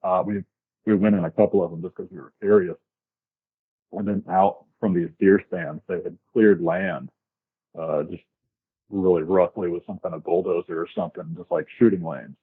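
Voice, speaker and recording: An American man from the southern US, casually telling a first-hand story as an interview guest, descriptive and a little halting. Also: low voice, fairly even intonation, husky. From southern United States, grew up in Florida. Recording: tinny, band-limited remote call.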